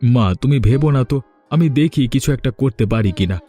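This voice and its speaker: A man voicing an anxious young son in a cartoon, talking in a hurried way. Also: medium pitch, mellow, natural voice. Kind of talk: Bengali cartoon voice acting. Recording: music under the voice.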